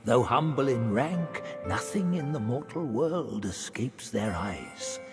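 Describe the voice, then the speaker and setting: A worldly, wry storyteller for a video game. He speaks slowly in theatrical, old-fashioned phrases, with lively rises and falls in pitch over clear background music. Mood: knowing. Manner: measured, theatrical, archaic phrasing. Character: wry, worldly male storyteller reflecting on fallen souls. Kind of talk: scripted dramatic game narration.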